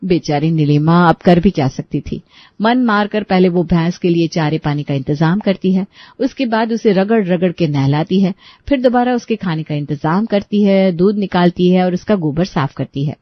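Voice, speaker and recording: A woman narrating a scripted family story in an expressive storytelling style. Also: lowish voice, moderate pace, no room sound, lo-fi sound.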